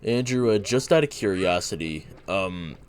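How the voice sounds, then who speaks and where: A young man casually chatting while streaming a video game, relaxed and easygoing. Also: low voice, moderate pace. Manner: relaxed, talks while playing, fillers and restarts. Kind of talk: casual gameplay chat.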